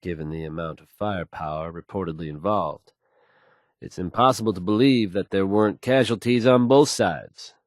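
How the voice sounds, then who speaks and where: An actor playing a confident radio DJ who reads post-apocalyptic news bulletins in an assured, measured, folksy announcer manner. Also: male voice, low-pitched, no room sound, clean studio sound, lightly acted. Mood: grave, cautioning. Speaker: radio DJ and newsreader.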